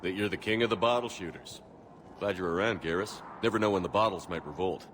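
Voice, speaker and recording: Deep-voiced, terse military hero. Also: male voice, fast talker. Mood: dry banter. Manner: terse, dry, direct. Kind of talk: video game voice acting. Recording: loud music or noise behind.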